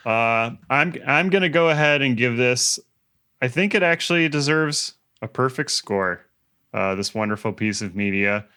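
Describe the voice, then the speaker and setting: A man co-hosts a casual podcast in a bright, forward voice with very lively intonation. He has a wry, joking way of talking and sits at a middling pitch. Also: normal speed, clean tone. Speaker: podcast co-host.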